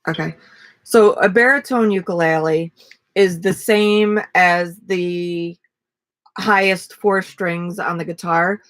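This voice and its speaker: A woman in her forties with a raspy voice, chatting casually and rambling on a live stream from a small camper. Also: on the low side, slow pace, no echo. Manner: relaxed, rambling, self-deprecating. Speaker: vlogger and ukulele player. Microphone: webcam at desk.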